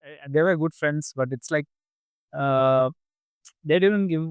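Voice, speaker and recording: A young man from India, hosting a casual chat and often pausing, repeating words and using fillers. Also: on the high side, clear, resonant tone, no echo. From Kerala, India. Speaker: podcast host. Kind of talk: casual conversation.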